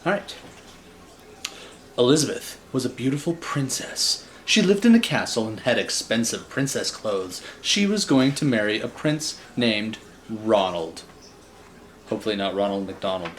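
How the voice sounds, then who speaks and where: A man reading a children's bedtime story in an animated style, with wry, joking casual asides. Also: mid-range voice, slight background noise.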